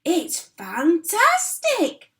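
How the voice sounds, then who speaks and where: A woman doing a jumpy, excitable little farmer. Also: high voice, takes it slowly, voice pushed up, heavily acted. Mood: ecstatic. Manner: excited exclaiming, shouting. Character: small, excitable, easily worked-up farmer father.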